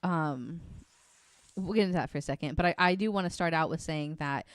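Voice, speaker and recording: Woman, fairly low voice, casual, giggly, informal, podcast host, dry recording. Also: fairly quick, clean tone, no echo. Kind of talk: casual true crime podcast talk.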